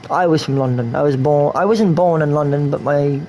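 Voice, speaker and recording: A man's voice: a little high, brisk, dark tone, a little hiss or hum, rough recording. A man from New York rambling through casual game stream commentary, repeating himself and slipping in and out of a put-on English accent. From New York, USA.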